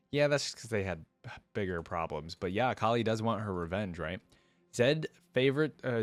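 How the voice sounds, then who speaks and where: A man's voice: on the low side, dry recording. A man giving casual reaction commentary, reading aloud and adding informal, exclaiming asides as host.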